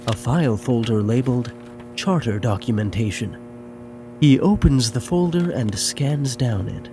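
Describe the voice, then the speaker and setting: A man's voice: mid-range voice, animated, a little slow, background noise. A man narrating the action of a scripted audio drama.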